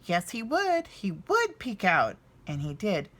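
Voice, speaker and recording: A woman narrating a children's storybook expressively, with dramatic pauses and emphasis. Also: medium pitch, huge pitch swings, a bit bright. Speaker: storybook reader.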